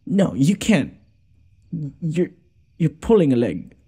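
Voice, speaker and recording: Noble lord for fantasy audio roleplay, male actor: haughty yet caring, soft-toned and husky, theatrical, exasperated sarcasm. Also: fairly high pitch, big pitch swings, slow pace, heavily acted. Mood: urgent concern. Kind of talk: scripted audio roleplay addressed to the listener.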